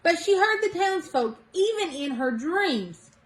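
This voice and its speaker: A woman storyteller reading a children's picture book aloud in an expressive way, with deliberate dramatic emphasis. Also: very high voice.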